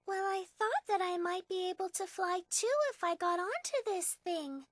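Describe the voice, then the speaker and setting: A woman's voice: very high-pitched, moderate pace, no room sound, studio quality, soft background music. A woman voicing a sweet, naive, earnest young girl in a video game, speaking politely and innocently. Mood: earnest, contrite. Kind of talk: English video game dub acting.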